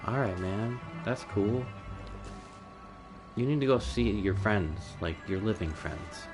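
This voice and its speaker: A male game streamer with a low voice and slow delivery. He comments in a casual, informal, laid-back way. Game music is clearly audible behind him.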